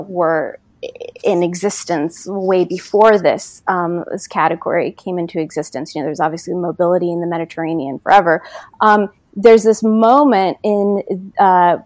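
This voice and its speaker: Lower-voiced woman, thoughtful academic guest. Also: no echo. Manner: thoughtful, many ums and you knows. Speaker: author, political science professor. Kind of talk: academic interview.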